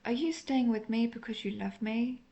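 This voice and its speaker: A woman playing a lovesick, apologetic female vampire in an intimate audio roleplay, speaking in a hesitant, confessional, softly melancholic way. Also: fairly high pitch, normal speed, highs cut off, natural voice. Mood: vulnerable, melancholic.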